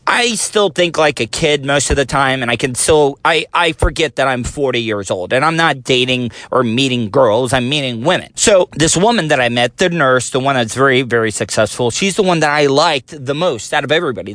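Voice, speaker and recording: A man's voice: mid-range voice, fairly bright tone, no room sound. A man in his forties with a thin voice, hosting a casual solo podcast, rambling and self-deprecating, recorded on a phone. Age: 40s. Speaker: podcast host.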